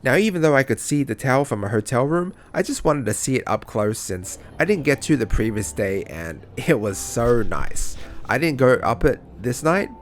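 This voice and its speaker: Man narrating a vlog, lively voice. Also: medium pitch, normal speed, soft background music. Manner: chatty, self-mocking, swears casually. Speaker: travel vlogger. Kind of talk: casual voice-over narration.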